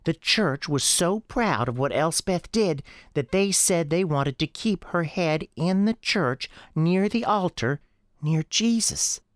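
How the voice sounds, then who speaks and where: A woman voicing a young girl with a high girlish voice and a folksy, childlike storytelling manner in an audio drama. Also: lively intonation, slow, no room sound, studio quality. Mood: earnest. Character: young Southern girl Sarah, earnest, defiant, chatty. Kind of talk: acted audio drama dialogue.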